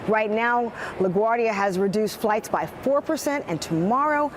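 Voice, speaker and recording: A woman's voice: a little high, normal speed. An American woman giving a live television field report from an airport. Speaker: TV news reporter. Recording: faint background noise on location.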